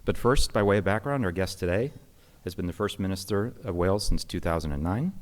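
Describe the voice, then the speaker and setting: A man with a very low voice reads a prepared introduction at a podium in a conference hall. His delivery is measured and formal, with an occasional stumble he corrects. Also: expressive. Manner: measured, formal reading with small slips. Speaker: host introducing a guest.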